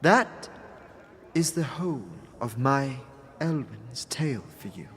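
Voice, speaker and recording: A man playing a long-lived, mysterious female being in a fantasy audio drama, speaking in a formal, halting, wistful and guarded way. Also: mid-range voice, expressive. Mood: grave, sorrowful. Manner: stately, halting, formal, interjecting own name.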